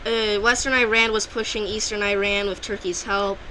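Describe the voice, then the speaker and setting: A woman talks casually over an alternate-history map, keeping her voice bright and clear at a middling pitch. She rambles, backtracks and corrects herself often, like unscripted commentary. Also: fairly even intonation, normal speed, a little hiss or hum, poor audio. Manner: loose and rambling, with frequent restarts and self-corrections.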